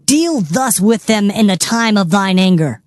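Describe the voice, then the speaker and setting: A man's voice: high-pitched, lively intonation, a little slow, no echo, clean studio sound. A man playing a stern, anguished Hebrew prophet pronouncing doom in a solemn, declamatory dramatized scripture reading. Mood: anguished pleading. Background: background music.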